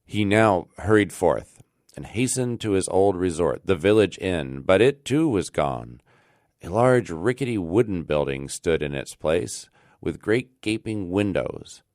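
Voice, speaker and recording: A man with a low-pitched American voice narrates an old story in a dry, close recording. His delivery is deliberate and expressive, leaning into key words for dramatic emphasis. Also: dry recording. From United States. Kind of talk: scripted narration of a classic tale.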